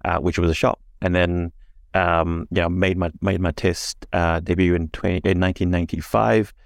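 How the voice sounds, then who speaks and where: A man from Singapore talking as an interview guest, halting and self-deprecating, laughing at himself. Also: low-pitched, level tone, gravelly, dry recording, studio quality. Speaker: podcast guest. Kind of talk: casual interview conversation.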